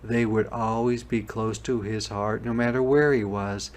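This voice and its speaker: A man reading a children's storybook aloud as a teacher, pausing to explain things. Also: on the low side, steady tone.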